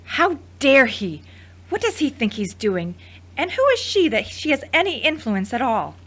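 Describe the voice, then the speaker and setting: A woman's voice: sits high, sing-song, moderate pace, a bit bright, full character voice. A woman playing an irritated, defensive, jealous woman in an audio drama, indignant and sarcastic. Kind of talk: scripted audio drama acting.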